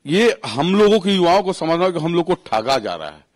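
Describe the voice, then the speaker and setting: A man with a Jharkhand Hindi accent speaks in a high-set voice. He argues politics as an angry panel guest, challenging and indignant, and repeats key phrases for force. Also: big pitch swings, normal speed. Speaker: panel guest giving his opinion. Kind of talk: heated political commentary.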